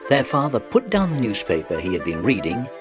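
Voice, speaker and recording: A man narrating a children's story from a script with an expressive storyteller's delivery. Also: mid-range voice, a little fast, over music.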